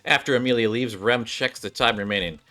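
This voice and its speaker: Low-key man reading game story aloud. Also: fairly quick, bright, forceful tone. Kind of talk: casual playthrough commentary and script read-aloud.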